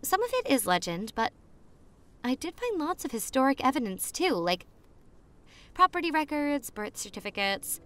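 A young woman playing a popular college girl on a spooky romantic date, in an ASMR roleplay with a hushed, dreamy manner and dramatic pauses. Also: high voice, very animated. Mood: playful, hopeful. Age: young adult.

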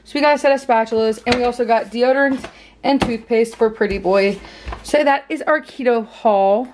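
A woman's voice: on the high side, normal speed, a little mellow, a little hiss or hum. Chatty young woman filming a kitchen vlog. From Pennsylvania. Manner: chatty, bubbly, teasing. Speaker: vlogger. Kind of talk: casual grocery haul vlog. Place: home kitchen, indoors. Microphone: handheld camera at arm's length.

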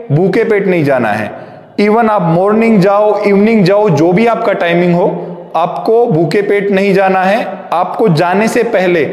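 High-voiced man, slow, echoey gym. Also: a little mellow. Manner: slow, deliberate, mixes English phrases. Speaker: gym trainer. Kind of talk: fitness tips to camera. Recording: echoey hall reverb.

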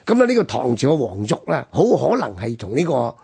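A man with a gravelly, husky voice and a Hong Kong Cantonese accent, discussing history conversationally as a radio host. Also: mid-range voice, wildly swooping pitch, a little fast, mellow.

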